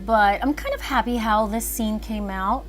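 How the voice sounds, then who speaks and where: A woman's voice: fairly high pitch, moderate pace, soft background music. A woman chatting casually and thinking aloud as she hosts an animation livestream. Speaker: animator and filmmaker streaming live.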